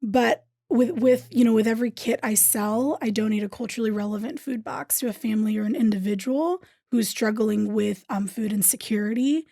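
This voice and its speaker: A woman's voice: a little high, a little fast, hardly any pauses, dark tone, dry recording, studio quality. A woman from Thailand, a business owner talking earnestly in a casual interview, often checking in with "right?".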